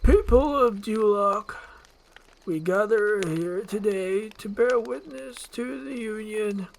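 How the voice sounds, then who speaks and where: Woman acting a solemn, slow wedding priest. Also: mid-range voice, gravelly, darkish tone, a little hiss or hum, pitched above the performer's own voice. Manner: ceremonial, drawn-out words.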